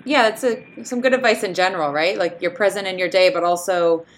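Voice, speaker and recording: A woman's voice: fairly low pitch, fairly quick, a little hiss or hum, rough recording. A woman hosting a casual interview, chatty and affirming, often tagging questions with "right". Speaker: host.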